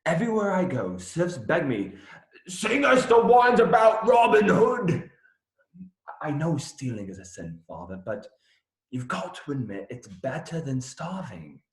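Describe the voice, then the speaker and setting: An actor playing a young minstrel, cheery by nature but troubled, earnest and folksy in a radio play. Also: male voice, fairly high pitch, wildly swooping pitch, unhurried. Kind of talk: theatrical radio play acting. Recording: roomy echo.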